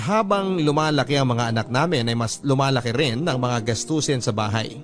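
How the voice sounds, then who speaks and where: A man's voice: mid-range voice, lightly acted. Man playing devoted family man recounting his marriage, earnest n sentimental, radio drama, music under it. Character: devoted husband and father fondly recounting his married life. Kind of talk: first-person dramatized radio story narration in Tagalog. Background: music bed.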